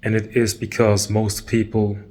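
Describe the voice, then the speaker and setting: A man leading a guided meditation talk, calm and measured, pausing between short phrases. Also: on the low side.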